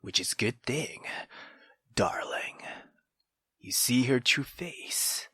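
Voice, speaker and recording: A man's voice: mid-range voice, expressive, slow, bright, forceful tone, husky, no echo, exaggerated voice. A man voicing a sinister, possessive mother in a visual novel, coaxing her child with cold, whispered menace.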